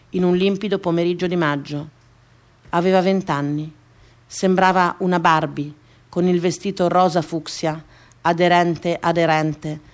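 Woman performing a theatrical monologue. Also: lowish voice. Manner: deliberate, repeats phrases for emphasis. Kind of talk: theatrical radio monologue, dramatic scripted storytelling. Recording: music behind the voice. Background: music.

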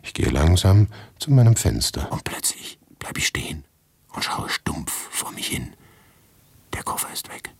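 An anguished, paranoid man of letters in a radio play. His voice is low and husky, recorded very dry. He tells his story in a deliberate, inward way, sometimes dropping into a whisper. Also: sing-song, no echo, exaggerated voice. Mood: whispered, tense dread. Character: anguished, paranoid male writer telling his grotesque misadventure. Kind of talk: literary radio monologue, first-person narration.

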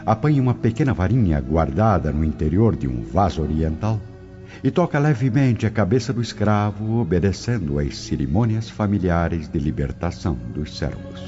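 A man's voice: deep voice, lively intonation, normal speed. A man narrating a scripted audio drama in a dramatic storytelling style. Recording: dull lo-fi, loud music behind. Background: loud music.

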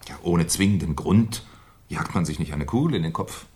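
A courteous police inspector in a 1970s radio crime drama. His voice is deep and moves expressively as he probes with pedantic, halting questions. He sounds quietly sceptical beneath the politeness. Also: expressive. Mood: methodical, courteous. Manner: hesitant, probing, pedantic questioning with deliberate pauses. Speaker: actor in a radio crime play.

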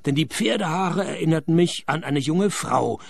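An actor playing a sardonic private detective who narrates a radio play in a dry, deadpan, mocking tone. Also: male voice, a little high, normal speed, gravelly, no echo. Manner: deadpan, sarcastic.

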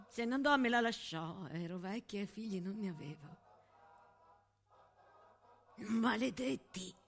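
A woman playing a fierce, grieving old village foster mother, telling her story in a confiding, colloquial stage monologue. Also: medium pitch. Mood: sorrowful, confiding, then bitter, urgent. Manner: confiding, colloquial, emphatic, with dramatic pauses.

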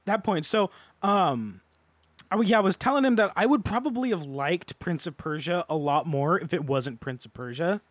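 A man with a notably high voice holds forth in a casual group discussion. He is a podcast panelist who gives opinions and swears freely. The sound is very dry, with no room on it. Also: lively intonation, normal speed, no echo.

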